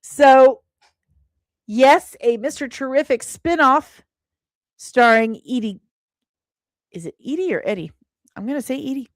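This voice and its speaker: Slow-paced, high-voiced woman livestreamer. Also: expressive, no room sound. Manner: playful, teasing, repeats phrases for emphasis. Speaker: livestream host. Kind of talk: casual livestream chat about entertainment news.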